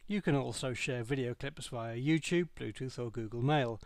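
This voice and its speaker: A man reading a scripted product review as narration, in a measured, matter-of-fact way. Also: mid-range voice, dry recording.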